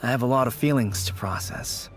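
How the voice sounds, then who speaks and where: A man's voice: medium pitch, fairly quick, faint music behind, lightly acted. An actor playing a weary, grieving young man who speaks earnestly and wistfully. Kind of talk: game cutscene voice acting.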